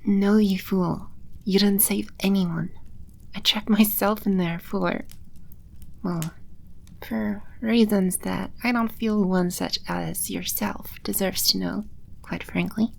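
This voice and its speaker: A woman playing a haughty, scornful female demon in a scripted audio roleplay, condescending and sardonic, with breathy edges to her voice. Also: medium pitch, steady tone, takes it slowly, darkish tone, full character voice. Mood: indignant, scornful.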